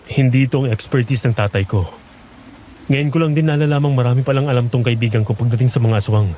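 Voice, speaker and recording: A man's voice: medium pitch, fast talker, warm tone, slight background noise, close to an everyday voice. A man playing a serious, earnest young farmer in a horror audio drama, often questioning. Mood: earnest, worried. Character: serious young farmer searching for his sibling.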